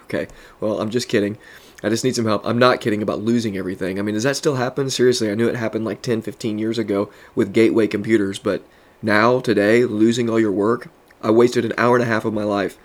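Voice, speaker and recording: A man's voice: lowish voice, fairly even intonation, fast. A man who pastors and hosts a podcast, teaching earnestly with a congested voice and building emphasis on his points.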